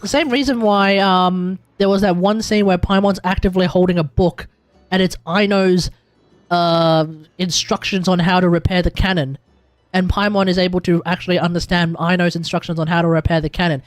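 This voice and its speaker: A man with an Australian accent giving casual, excitable, joking live commentary on a game, repeating himself for emphasis. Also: sits high, normal speed, no room sound, faint music behind. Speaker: streamer.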